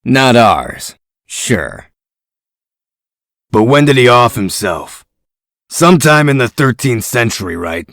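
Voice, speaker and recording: A man playing a gruff, irritable, cynical tough guy in a comic dub, with sardonic grumbling. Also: mid-range voice, very animated, takes it slowly, long pauses, fairly bright tone, no echo, clean studio sound, heavily acted. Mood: irritated, sardonic. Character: gruff, cynical, irritable male detective type.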